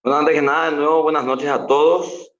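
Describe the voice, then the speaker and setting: A man interpreting live, in a quick and informal manner. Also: fairly high pitch, little pitch movement, dull audio.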